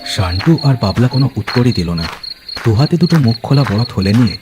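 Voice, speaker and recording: A man's voice: medium pitch, animated, a little fast, background noise. A man narrating a horror story in a dramatic, scripted storytelling style.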